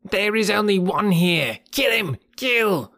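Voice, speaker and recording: A man doing a shrill boastful monkey mob. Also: big pitch swings, moderate pace, a bit bright, dry recording, clean studio sound, full character voice. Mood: shouting.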